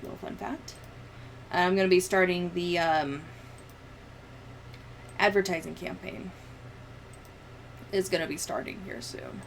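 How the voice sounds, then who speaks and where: A woman livestreamer chatting casually, rambling and thinking out loud as she goes. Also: lowish voice, steady tone, a little slow, creaky, long pauses, slight background noise, rough recording.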